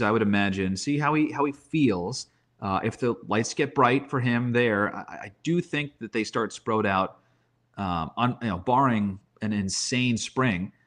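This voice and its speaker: A man's voice: on the low side, a bit bright. A man in a casual sports discussion as a baseball analyst, conversational and thinking aloud, often posing questions to himself. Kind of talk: casual sports talk, roster preview.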